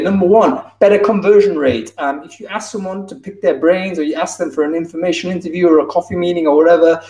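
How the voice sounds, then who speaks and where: A man's voice: on the high side, a little mellow. A man in his thirties giving a conference keynote over a video call, talking in a loose conversational way with fillers and restarts. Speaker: keynote speaker. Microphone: video call, wired earbuds. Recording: roomy, distant video-call sound.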